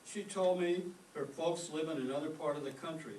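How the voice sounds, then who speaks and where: A man's voice: a little high, a little fast, darkish tone, recorded from a distance, lightly acted. A man playing a shy, earnest and easily flustered male teacher in a staged old-time radio comedy. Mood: earnest, apologetic. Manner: hesitant, flustered. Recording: echoey stage recording, off mic, faint noise.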